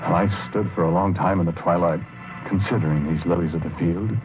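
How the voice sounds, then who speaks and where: A low-voiced male actor as a gentle, obsessive husband in a vintage radio play. He speaks earnestly and haltingly, repeating himself as he confesses his devotion. The old recording is thin and narrow, with music clearly behind him. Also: fairly even intonation, close to an everyday voice. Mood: hushed, sorrowful. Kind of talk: 1940s radio drama acting.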